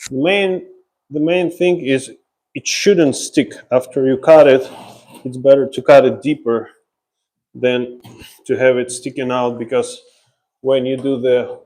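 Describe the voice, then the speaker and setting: A man with a Ukrainian accent talks at an unhurried pace, his pitch in the middle range. He gives casual, hands-on explanations as a tradesman streaming live, pausing while he works. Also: steady tone, darkish tone, low-quality recording. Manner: explains while working, pausing as he handles tools. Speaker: drywall installer, live stream host. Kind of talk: casual live work commentary. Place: laundry room under renovation, indoors.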